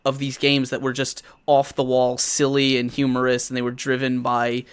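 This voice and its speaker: Man, mid-pitch voice, guest streamer, stumbles and restarts, laughs a lot. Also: monotone, moderate pace, smooth. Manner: eager, repeats words, laughs easily. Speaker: guest game master and streamer. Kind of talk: casual live-stream chat.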